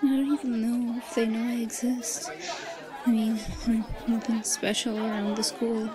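A woman's voice: a little high, flat delivery, moderate pace, crowd noise, lightly acted. A woman playing a shy, bullied young student with an obsessive streak, in a hesitant, stammering delivery for a roleplay audio drama. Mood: lovesick, self-loathing.

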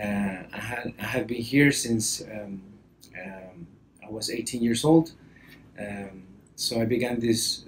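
A man in his early thirties from Costa Rica with a Spanish accent, a priest introducing himself to camera in a halting way with frequent pauses. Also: mid-range voice, rough recording. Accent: Costa Rican Spanish. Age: early 30s. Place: indoor office or library, seated at a desk. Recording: background room noise.

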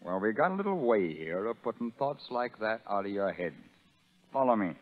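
An actor playing a sadistic, vengeful prison sergeant who taunts and barks orders in a 1930s radio drama. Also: male voice, fairly low pitch, sing-song, moderate pace. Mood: furious, bullying. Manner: menacing, taunting, barking orders. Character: sadistic, vengeful male prison sergeant bullying new convicts. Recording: narrow muffled 1930s radio broadcast, reverberant.

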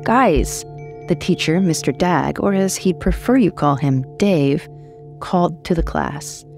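A woman narrates horror fiction. Her voice sits very low with a lot of fry, wry and dramatic, over faint music. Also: measured pace, darkish tone.

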